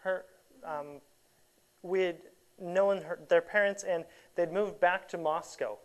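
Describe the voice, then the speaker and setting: A woman's voice: fairly low pitch, takes it slowly. A woman sharing mission experiences in an easy storytelling manner. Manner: storytelling, animated when quoting. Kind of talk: casual chat.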